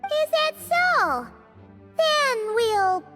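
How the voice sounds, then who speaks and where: A woman voicing a small, childlike, excitable and suspicious fairy guide with a squeaky voice in a video game. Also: very slow, over music, heavily acted. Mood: surprised.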